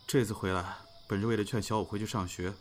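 A man's voice: on the low side, fairly even intonation, quick pace, soft background music, close to an everyday voice. Man acting composed, earnest elder brother. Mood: sincere, reflective. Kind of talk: modern Chinese audio drama acting, naturalistic dialogue.

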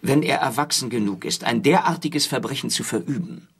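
An actor playing a stern state prosecutor in a radio drama, speaking in formal, officious, measured legal phrases. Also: male voice, mid-range voice, gravelly, fairly bright tone, slightly rough. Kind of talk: radio drama acting as talk show guest.

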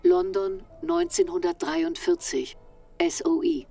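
An actress playing a matter-of-fact narrator who announces place and year in a radio drama. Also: female voice, lowish voice, huge pitch swings, slow, vocal fry, dark tone, far from the mic, soft background music, heavily acted. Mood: announcing. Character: matter-of-fact female documentary-style narrator.

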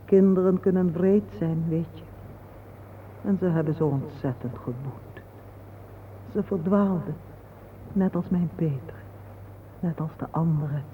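A woman acting a gentle, serene older mother who grieves her fallen son, speaking patiently and solemnly in a radio drama. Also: low-pitched. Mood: calm, consoling. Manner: slow, patient, consoling. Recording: muffled, noisy old radio play recording.

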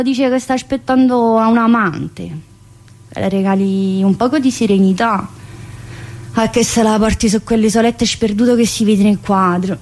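A woman playing a cheeky, needling female prison inmate in a colloquial theatre monologue, with a southern Italian accent and a teasing, familiar manner. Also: fairly high pitch. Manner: teasing, familiar, full of rhetorical questions. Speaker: stage actress performing a monologue. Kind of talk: theatrical monologue in colloquial dialect-tinged speech.

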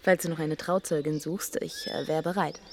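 Dry young woman, lowish voice. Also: fairly even intonation, normal speed, a little mellow, slight background noise. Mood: dry teasing. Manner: dry, laconic. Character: dry-witted, easygoing young backpacker woman. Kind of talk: German radio drama acting.

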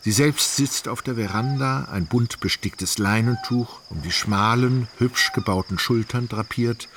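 Actor for wry male storyteller in literary radio play, mid-range, measured and ironic, crickets and animal sounds behind. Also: medium pitch, noisy background, lightly acted. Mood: detached, descriptive. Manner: measured, ornate, dryly ironic. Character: wry, detached omniscient male narrator. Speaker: narrator. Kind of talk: scripted literary radio drama narration. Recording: insect and animal ambience behind voice.

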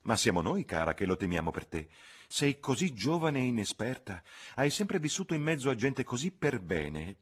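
A man's voice: medium pitch, very animated, normal speed, breathy edge, voice pushed up. A man voicing a fussy, reproving older spinster chaperone in an audiobook reading. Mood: insistent. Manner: mildly reproving, prim, insistent.

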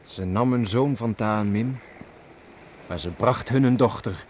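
An actor playing a loyal, steady young seafarer and farmer son in a Dutch radio drama, earnest and respectful. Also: male voice, lowish voice, measured pace, natural voice. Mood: reflective. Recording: slightly noisy old radio play recording.

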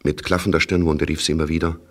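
A man reading scripted literary narration in a radio play, in a measured, detached, factual way. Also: very deep voice, flat delivery. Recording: clearly noisy background.

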